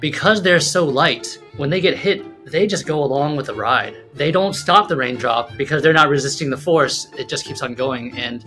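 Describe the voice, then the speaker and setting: A man's voice: fairly high pitch, moderate pace, few pauses, pushed and bright. A man in his thirties, a scientist giving an informal explanation in an interview. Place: bright office, indoors. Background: plucked guitar music.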